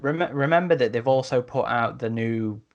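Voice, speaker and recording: A man with a middling pitch talks on a podcast panel. His delivery is casual and conversational, like someone discussing a hobby with friends. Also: normal speed, dull audio.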